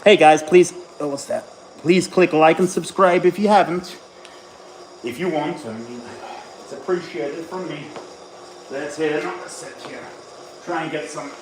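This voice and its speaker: A man's voice: a little high, unhurried, a little hiss or hum, low-quality recording. A man born in Tennessee and raised in Ireland, a bodybuilding coach chatting casually with his live-stream audience between sets at the gym. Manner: chatty, rambling, answers chat while training.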